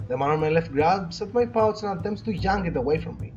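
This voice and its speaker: A man's voice: high-pitched, animated, quick pace. A man co-hosting a let's play, reading visual novel narration aloud and dropping in casual asides. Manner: corrects co-player's words. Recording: muffled lo-fi audio with music. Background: game music.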